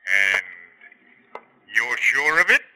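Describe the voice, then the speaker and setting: An actor playing a skeptical village constable with pointed, deliberate questioning, in 1950s radio drama style. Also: male voice, mid-range voice, very animated, sharp tone, heavily acted, poor audio. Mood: suspicious, pressing. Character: probing, skeptical English village constable, male.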